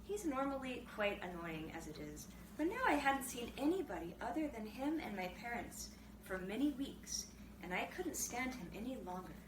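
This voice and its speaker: High-voiced animated woman reading a story, roomy sound. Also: normal speed. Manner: expressive, acts out the characters' dialogue. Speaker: publishing director reading a children's story aloud. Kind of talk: animated storybook read-aloud. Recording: roomy, distant mic, clearly noisy background.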